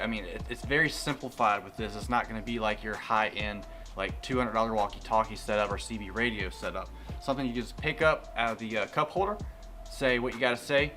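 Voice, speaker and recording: Male vlog host, mid-pitched, casual and plain-spoken product reviewer, with music underneath. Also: sharp tone. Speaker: host of a car tech review channel.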